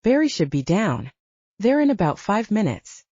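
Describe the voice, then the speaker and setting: A woman voicing a calm, protective and courteous male bodyguard in an audiobook. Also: mid-range voice, moderate pace, no echo, muffled recording. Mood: businesslike.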